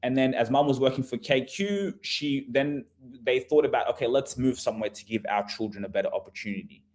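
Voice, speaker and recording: A man's voice: mid-range voice, a little fast, a little mellow. A man presenting an informal live webinar, conversational and repetitive, often putting rhetorical questions to listeners. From Australia. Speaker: webinar host, property investment advisor.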